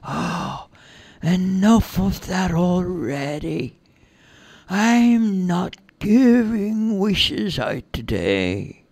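A storyteller plays a tiny storybook fairy, grumpy and greedy for treats. The voice is high and whiny, dragging slowly and reluctantly through its bargaining. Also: sing-song, vocal fry, a little mellow, dry recording, full character voice. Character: small, grumpy, chocolate-greedy captured fairy.

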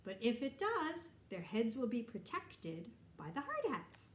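A middle-aged woman reading a picture book aloud to children, explaining and asking simple questions in an animated way. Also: a little high, unhurried, echoey room. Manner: animated, explanatory, addressing young listeners. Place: bright indoor room.

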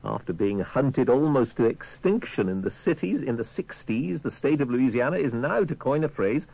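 A man's voice: mid-range voice, expressive, normal speed, creaky, mellow, breathy edge, rough recording. A man presenting on the radio in a conversational style.

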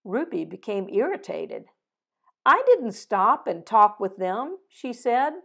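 An older woman reading a picture book aloud and closing with a prayer, gently voicing the dialogue, recorded over a video call at home. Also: a little high, very animated, breathy edge. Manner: slow, gentle storytelling with voiced dialogue. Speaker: storybook reader. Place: home living room with fireplace.

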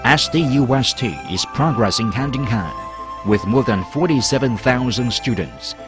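A man reading a formal, measured promotional narration as a voice-over. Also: medium pitch. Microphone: studio voice-over. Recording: voice-over over loud music. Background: music.

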